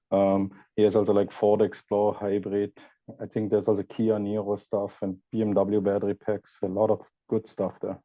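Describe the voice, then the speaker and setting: A man from Austria with an Austrian accent, a podcast guest chatting casually and pausing to search for words. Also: lowish voice, warm tone, no echo, dull audio. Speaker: guest, EV content creator. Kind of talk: casual interview chat.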